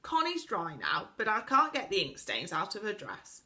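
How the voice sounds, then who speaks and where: A woman voicing a gentle fairy girl who speaks in a soothing, hushing way. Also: mid-range voice, huge pitch swings, normal speed, full character voice.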